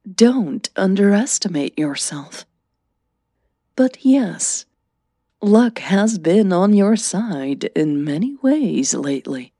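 A woman's voice: mid-range voice, very animated, slow, mellow, no room sound, studio quality. A woman voicing an enthusiastic, affectionate middle-aged mother who encourages and teases, in an audio drama. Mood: encouraging. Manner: eager, affectionate.

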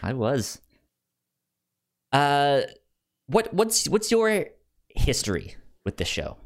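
A man co-hosting a podcast in casual chat, halting and often stammering over repeated words. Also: on the high side, huge pitch swings. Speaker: podcast co-host.

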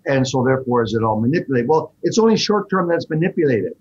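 A man being interviewed, explaining investments in quick, animated bursts with frequent fillers. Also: mid-range voice, muffled recording, a little hiss or hum. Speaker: fund manager guest. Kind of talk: interview answers.

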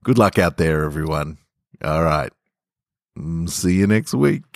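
A man's voice: low voice, lively intonation, measured pace, a little mellow, no room sound, studio quality. A man talking informally as a podcast host and story reader.

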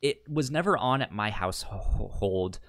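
A man's voice: fairly low pitch, expressive, a little slow, fairly bright tone, no room sound, clean studio sound. Man with a stutter, chatting casually. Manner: stuttering, repeating sounds and words. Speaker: podcast co-host. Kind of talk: casual chat about TV sitcoms.

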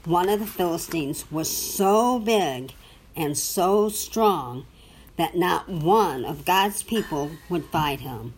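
A woman reading a children's Bible storybook aloud to a young child, with simple, deliberate phrasing and questions for the listener. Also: fairly low pitch, expressive, a little hiss or hum, low-quality recording.

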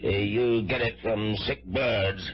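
An actor playing a folksy, reassuring family doctor in a radio drama, explaining things in a casual, halting way. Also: male voice, on the low side, fairly even intonation. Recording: dull vintage radio recording with music.

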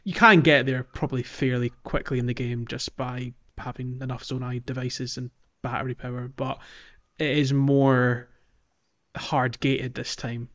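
A man's voice: medium pitch, little pitch movement, a little slow, a bit bright, lo-fi sound. A man hosting a podcast, talking casually and reflectively about video games, with some hesitation as he goes. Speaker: podcast host. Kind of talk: casual video game discussion.

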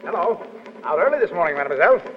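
Cocky young soldier, high-pitched and quick, offhand, muffled vintage radio play. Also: male voice, very animated, slight background noise. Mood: brisk. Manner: brusque, offhand. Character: brash, cocky young legionnaire hiding his love. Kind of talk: 1930s radio acting. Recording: muffled 1930s radio recording with music.

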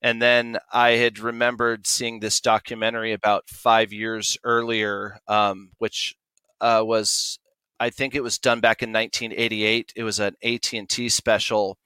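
Bright, clear-voiced man in his forties. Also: fairly low pitch, level tone, unhurried, no room sound. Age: 40s. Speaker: guest, audio drama producer. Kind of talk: conversational interview.

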